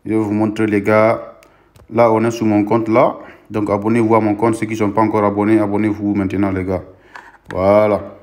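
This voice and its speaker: A man's voice: fairly low pitch, monotone, a little fast, a little mellow, slight background noise. A young man, not a native French speaker, speaking as a content creator in a casual tutorial vlog with an encouraging pep-talk tone. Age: young adult. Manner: pep-talk tone, addresses viewers as "les gars".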